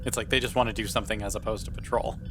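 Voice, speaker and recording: Casual man player over clear music. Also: fairly low pitch, steady tone, rapid-fire. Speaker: tabletop game player. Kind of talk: casual table chat.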